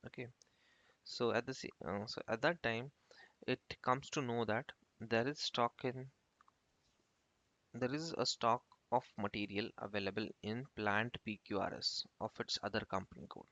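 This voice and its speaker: A man giving an informal software tutorial as an instructor, explaining step by step in a halting, repetitive way. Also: medium pitch, steady tone, no room sound. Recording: muffled lo-fi screen-recording voice-over.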